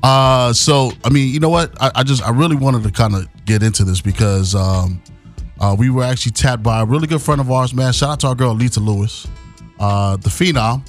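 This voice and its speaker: A fast-talking man co-hosting a radio show with a mid-pitched voice. He talks in a slangy, hyped way and leans on filler phrases. Faint music plays behind him in the studio. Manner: slangy and hyped, leaning on "man" and "you know what I mean". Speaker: radio co-host. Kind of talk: casual radio talk.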